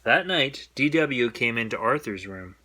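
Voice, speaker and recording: A man reading a children's bedtime story aloud in an expressive storytelling style. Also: mid-range voice, unhurried.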